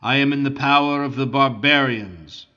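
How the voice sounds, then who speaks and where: A man's voice: mid-range voice, moderate pace, bright, forceful tone, lo-fi sound, lightly acted. A man reading an audiobook voices a proud, impassioned young male Italian count held prisoner.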